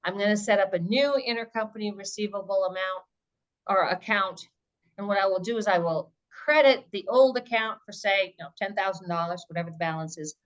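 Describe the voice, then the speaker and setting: A woman's voice: medium pitch, a bit bright, dull audio. Woman explaining in a webinar Q&A. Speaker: presenter answering audience questions.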